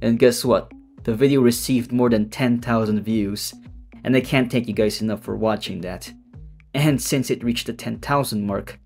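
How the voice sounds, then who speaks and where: A man doing casual, deadpan narration as the host of a meme tier-list video. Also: fairly low pitch.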